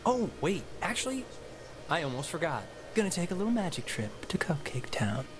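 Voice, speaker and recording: A man playing an excitable, mischievous teenage slacker in a video game, with a joking, slangy delivery. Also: on the high side, normal speed, background noise. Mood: playful. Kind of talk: naturalistic video game dialogue acting. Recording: music behind the dialogue.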